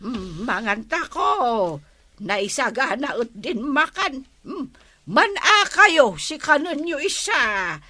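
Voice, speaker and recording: An actress with an Ilocano accent playing a hospitable village woman who serves food, with a drawn-out, coaxing delivery. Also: sits high, sing-song, pushed and bright, no room sound, full character voice. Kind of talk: 1950s-style radio comedy acting.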